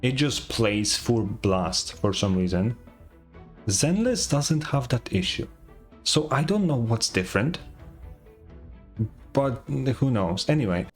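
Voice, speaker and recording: A man's voice: mid-range voice, big pitch swings, slow, long pauses. A man who is not a native English speaker, giving casual commentary on a game, musing aloud and swearing. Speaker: gaming streamer. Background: game music.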